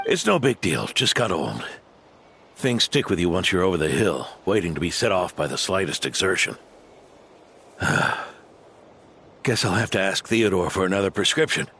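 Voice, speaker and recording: An actor playing an aging, modest veteran knight who speaks calmly and with self-deprecation in a game cutscene, with background music. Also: male voice, sits low, normal speed, long pauses, a little hiss or hum, exaggerated voice. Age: older. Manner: calm, reflective, self-deprecating. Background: background score.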